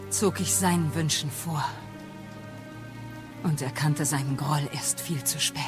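Exiled mother goddess, wise, sorrowful, measured, solemn, radio play, music and rain behind. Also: deep voice. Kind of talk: dramatic audio play acting.

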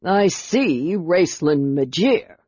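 An imperious master scholar performed by a male narrator, acerbic and short of patience. He hands down curt, formal words at a slow pace, with a slightly dull sound, as in a fantasy audiobook. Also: fairly high pitch, animated, a little mellow, husky, dry recording. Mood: cold, dismissive. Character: acerbic, haughty, impatient male master chronicler. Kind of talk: audiobook character voice.

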